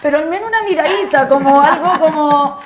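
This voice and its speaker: A woman's voice: high voice, fairly quick, heavy background noise. A woman with a Chilean accent, an actress talking casually as a podcast guest.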